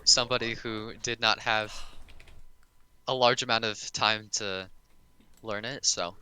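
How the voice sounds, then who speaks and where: A man with a fairly low, intensely bright voice gives casual live speedrun commentary. He also coaches the player, with typing audible behind him. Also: fairly even intonation, measured pace, smooth.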